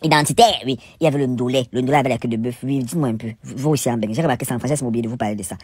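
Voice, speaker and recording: Cameroonian male cooking vlogger, rapid-fire and husky, theatrical storyteller, casual and full of interjections. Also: medium pitch, a little mellow, dry recording, studio quality. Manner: theatrical, exclamatory, playfully indignant, with repetitions and interjections. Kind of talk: casual, chatty storytelling voice-over.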